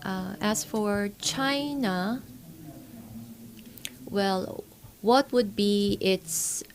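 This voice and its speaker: A woman working as a simultaneous interpreter at a forum. Her voice sits at an ordinary pitch for a woman, and she speaks in halting chunks, pausing and restarting as she keeps up. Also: slight background noise. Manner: halting, with frequent pauses and restarts.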